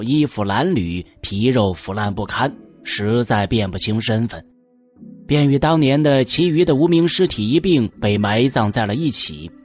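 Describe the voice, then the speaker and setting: An actor playing an elderly, officious Tang dynasty prefect in an audio drama, with measured, old-fashioned diction. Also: male voice, medium pitch, natural voice.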